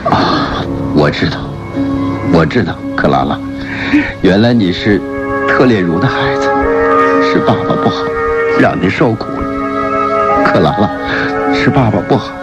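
An actor playing an emotional elderly aristocrat and tender father in a radio drama, speaking in a halting, heartfelt way. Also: deep voice, lively intonation. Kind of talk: Mandarin radio drama acting. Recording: dull recording under loud music.

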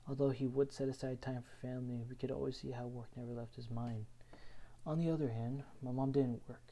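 A young man from Houston, Texas, reading his own written personal story aloud in an earnest, measured way. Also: mid-range voice, flat delivery, mellow. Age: young adult.

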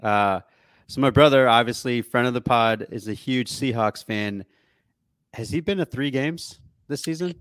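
A man's voice: mid-range voice, moderate pace, a bit bright, clear, resonant tone, no echo. A man co-hosting a podcast, chatting casually about sports in a slangy, mildly sweary way. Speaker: podcast co-host.

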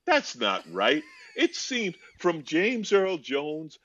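A man with a deep voice, answering fan questions with wry, deadpan jokes and drawn-out punchlines during a video call. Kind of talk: joking video-call chat. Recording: dull, lo-fi video-call audio.

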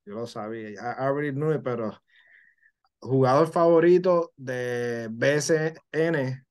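A man hosting a podcast interview, speaking haltingly and switching between English and Spanish. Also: mid-range voice, a little mellow, clean tone. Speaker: podcast host. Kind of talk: casual interview talk.

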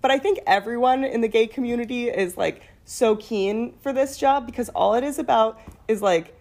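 A young woman with a fairly deep voice, chatting casually, laughing often and swearing freely. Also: moderate pace, a little mellow. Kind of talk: casual chat.

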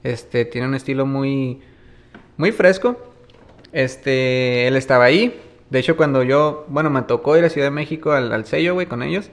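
A man's voice: mid-range voice, moderate pace. Baja california guy in his 30s, chill, slangy, says güey every other word, normal mid voice. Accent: northern Mexican, Baja California. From Ensenada, Baja California, Mexico. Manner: slangy, tags nearly every phrase with "güey". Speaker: musician guest. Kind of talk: casual interview storytelling.

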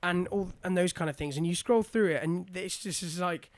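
A man's voice: sits high, moderate pace, no room sound. A man in his early twenties chatting casually, with a teasing, playfully protesting manner. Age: early 20s. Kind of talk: casual bantering chat.

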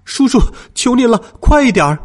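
A man's voice: high voice, lively intonation, normal speed, husky, voice pushed up. A man voicing an anxious young child in a storybook, pleading urgently and stumbling over words. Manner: urgent, stammering, near tears.

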